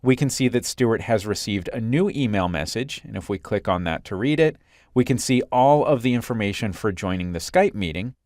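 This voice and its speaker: A man working as an instructor, narrating a software screencast in a clear, step-by-step explanatory way. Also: on the low side, lively intonation, moderate pace, dry recording, studio quality.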